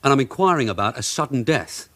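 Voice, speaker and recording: An actor playing a shrewd police detective sergeant in a radio crime drama, businesslike, firm and laconic. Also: male voice, mid-range voice, animated, fast talker, fairly bright tone. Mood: businesslike, probing.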